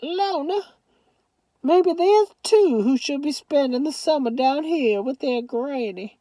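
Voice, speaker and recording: A woman voicing a loud, bossy elderly grandmother with a Southern accent. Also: high-pitched, slow, no echo, voice pushed up, low-quality recording. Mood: scolding. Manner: shouting, weepy, domineering.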